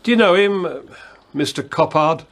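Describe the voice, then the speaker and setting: A man acting in a radio drama as a polite, persistent, unhurried London police constable. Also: fairly high pitch, animated, a bit bright. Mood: quietly insistent. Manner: courteous, probing, unhurried.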